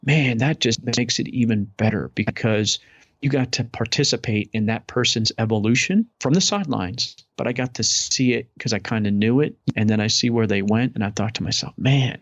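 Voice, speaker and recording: A man's voice: on the low side, moderate pace, a bit bright, dry recording. A man talking as a guest in a casual interview, upbeat, enthusiastic and rambling. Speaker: podcast guest. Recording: dull lo-fi audio.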